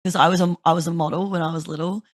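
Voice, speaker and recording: Brief: woman host with a lowish voice, dry close sound, chatty, swears a lot. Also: very fast, dry recording. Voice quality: deeper voice. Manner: chatty, swears freely, animated storytelling. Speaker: podcast host. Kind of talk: casual chat.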